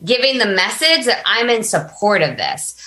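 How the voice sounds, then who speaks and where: Bright-voiced, emphatic American woman. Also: mid-range voice, very animated, normal speed, reverberant, poor audio. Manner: emphatic, informal, frequent "like". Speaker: podcast guest, business coach. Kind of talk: conversational interview, personal anecdotes.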